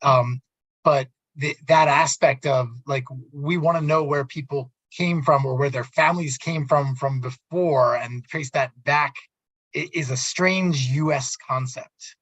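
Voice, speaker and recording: Halting male radio host, husky, roomy sound. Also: on the high side, a bit bright. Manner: halting, repeats and restarts words. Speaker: radio talk show host. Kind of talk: conversational interview, summarizing. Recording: muffled, roomy, echoey audio.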